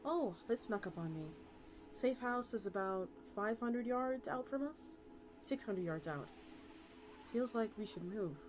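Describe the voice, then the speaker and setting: A woman's voice: on the high side, expressive, unhurried. A woman livestreamer reading a story aloud with acted dialogue and chatting casually with her viewers. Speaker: VTuber streamer. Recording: music playing behind the voice. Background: music.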